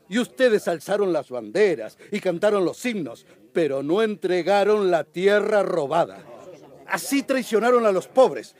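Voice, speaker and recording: An actor playing a righteous prosecutor who accuses in a declamatory, emphatic way in a radio drama. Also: male voice, sits high, heavily acted. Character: righteous, impassioned prosecutor accusing landowners for the poor. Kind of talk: radio drama courtroom oratory.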